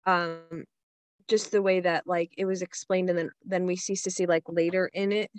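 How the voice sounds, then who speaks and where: A woman with a fairly low voice talks casually as a panellist. She is halting and tentative, and the sound is dry. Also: flat delivery, dry recording. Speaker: script reading panellist. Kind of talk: casual spoken feedback.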